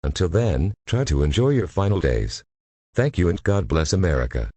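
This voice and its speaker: A man reading a formal emergency broadcast announcement in a solemn, measured, impersonal way. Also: low voice, big pitch swings, warm tone, breathy edge, no room sound.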